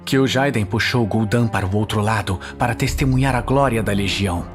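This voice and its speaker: A man with a Brazilian accent narrating a fantasy audio series in a solemn, suspenseful, measured style. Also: lowish voice. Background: dramatic music.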